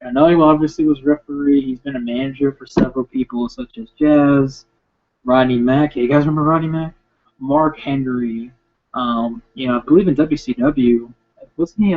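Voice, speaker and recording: A man ranting casually and sarcastically about wrestling, rambling and slipping into quick impressions. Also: fairly high pitch, gravelly. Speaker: wrestling commentator. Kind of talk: casual rant. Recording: tinny phone-like lo-fi sound.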